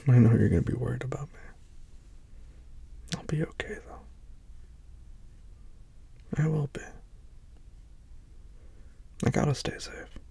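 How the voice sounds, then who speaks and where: A man speaking softly and reassuringly to a partner, often dropping to a tender whisper in an intimate one-sided roleplay. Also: medium pitch, measured pace, vocal fry, long pauses, slightly rough, dry recording. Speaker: boyfriend speaking to an unseen listener.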